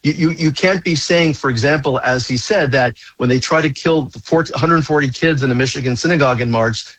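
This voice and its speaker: A man's voice: mid-range voice, fairly quick, a bit bright, no room sound, low-quality recording. A man appearing as a rabbi guest on a talk radio show, speaking urgently and insistently, repeating his warnings.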